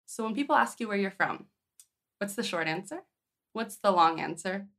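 A woman's voice: mid-range voice, animated, moderate pace, a bit bright. A woman interview host speaking in a thoughtful, curious, conversational way. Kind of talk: conversational interview with scripted introduction.

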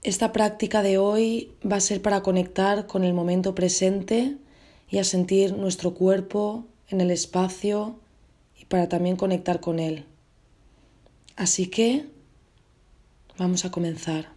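Woman leading a guided meditation, very soft mellow tone, gentle short phrases w pauses. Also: medium pitch, fairly even intonation.

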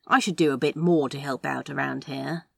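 Woman voicing an anxious soft-hearted man, husky. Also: a bass voice, fairly even intonation, normal speed, full character voice. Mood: offering help. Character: anxious, soft-hearted man excited over a stray kitten. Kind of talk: audio drama acting in fan fiction.

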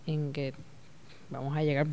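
A woman with a Puerto Rican accent, a guest in a casual interview, relaxed and a bit hesitant, filling pauses. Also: sits low. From Puerto Rico.

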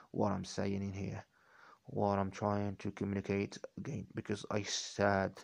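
A man's voice: sits low, creaky, a little mellow. A man talking unscripted on his own podcast, rambling as he repeats and corrects himself. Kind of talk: unscripted solo talk.

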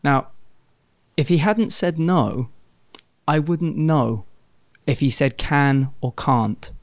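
A man's voice: a little high, slow, dry recording. A British man co-hosting a podcast, explaining things thoughtfully with careful diction. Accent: British English. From Britain. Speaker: podcast co-host. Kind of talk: casual podcast chat.

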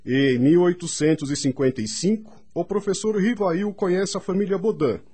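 A man reads scripted narration for a radio drama. His voice is a little high for a man and somewhat husky. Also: moderate pace, dark tone.

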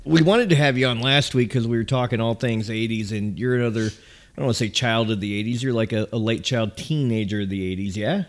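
A man hosting a podcast in casual chat, teasing his guests and quick to laugh. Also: mid-range voice. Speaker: podcast host.

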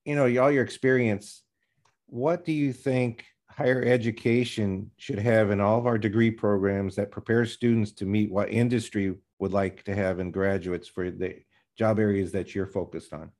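Middle-aged male podcast host, lowish voice, friendly interviewer. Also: steady tone, moderate pace, a little mellow. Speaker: podcast host, university president.

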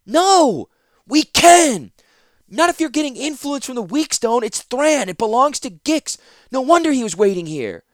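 Guy putting on a scared torn woman fighter, shouting, voice pitched high. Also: sing-song, a little slow, no echo, clean studio sound, full character voice. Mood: anguished. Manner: shouting, anguished. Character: frightened woman warrior, self-doubting and torn.

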